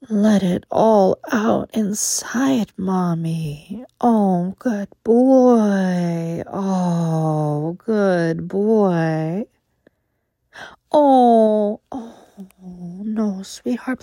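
A woman playing a doting, protective motherly lover, speaking softly in a cooing, often whispered way close to the mic. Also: a little high, dry recording, exaggerated voice. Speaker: audio roleplay performer. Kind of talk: intimate whispered audio roleplay.

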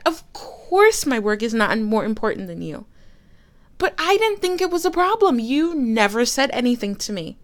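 A young woman voicing a frustrated girlfriend who swears in exasperated outbursts while fighting with her partner. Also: high voice. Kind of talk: one-sided roleplay audio.

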